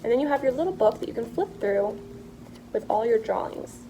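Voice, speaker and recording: A woman's voice: on the high side, lively intonation, brisk, mellow, a little hiss or hum, poor audio. A woman art teacher giving children simple, encouraging step-by-step instructions.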